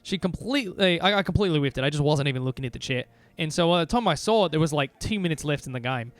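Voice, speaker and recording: A man's voice: fairly high pitch, expressive, fast talker, no room sound, close to an everyday voice. A man putting on an Australian accent for casual, playful, rambling livestream banter. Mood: rambling, apologetic. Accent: put-on Australian.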